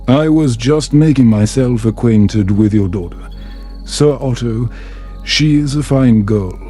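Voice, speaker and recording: A man reads the part of a young fantasy prince in a bedtime story. He is easygoing and gentle, speaking slowly in a mellow voice, with music behind. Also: mid-range voice, natural voice. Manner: gentle, at ease.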